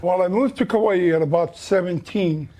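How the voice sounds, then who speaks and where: A man's voice: sits high, normal speed, dark tone. A middle-aged man from Niihau with a Hawaiian Pidgin accent, Hawaiian his first language, telling stories as a guest in short, plain-spoken answers. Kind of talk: casual storytelling.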